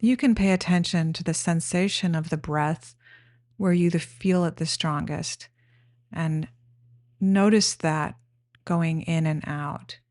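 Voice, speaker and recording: Slow, low-pitched woman guiding meditation. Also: dry recording, clean studio sound. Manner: gentle, unhurried, plain-spoken instructions with pauses. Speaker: meditation guide. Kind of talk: guided meditation instruction.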